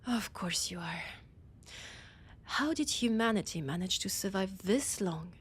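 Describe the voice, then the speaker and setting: Slow, haughty French-accented vampire played by a woman. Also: medium pitch, expressive. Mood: condescending, exasperated. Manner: condescending, sardonic, breathy whispered asides. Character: annoyed, haughty female vampire scholar, ancient and hungry. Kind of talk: scripted roleplay audio drama.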